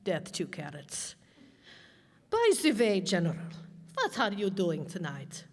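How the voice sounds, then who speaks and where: A woman plays a scheming vegetable dictator for radio satire, commanding and theatrical. The pitch moves widely at a middling height, in a hall with long reverb. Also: huge pitch swings, a little slow, exaggerated voice. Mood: scheming, casual. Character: scheming, imperious onion-state leader in a radio satire. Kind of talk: satirical radio play acting. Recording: echoey hall stage performance.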